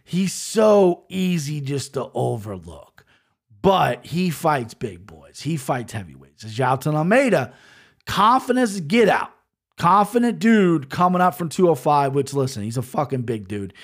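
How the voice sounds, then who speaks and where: Man, high voice, brash, profane, rambling, casual betting chat. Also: sing-song, unhurried. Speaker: sports betting picks host.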